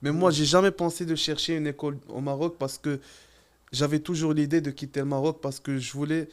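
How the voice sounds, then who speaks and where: A man's voice: on the high side, steady tone, fairly quick. A young man, a podcast guest speaking in short, plain statements. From Morocco. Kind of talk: casual chat.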